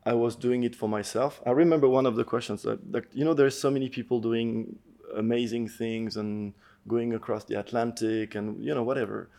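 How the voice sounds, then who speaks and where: A middle-aged man from France, a podcast guest telling his story in an earnest, thoughtful way, often pausing to find his words. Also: mid-range voice, steady tone, vocal fry, dark tone. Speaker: podcast guest, endurance athlete. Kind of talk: reflective storytelling interview.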